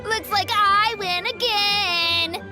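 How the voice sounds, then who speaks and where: A woman's voice: fairly even intonation, slow pace. A woman voicing an earnest, loyal little boy in a video game. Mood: boastful, playful. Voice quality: childlike, high. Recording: music playing behind the voice.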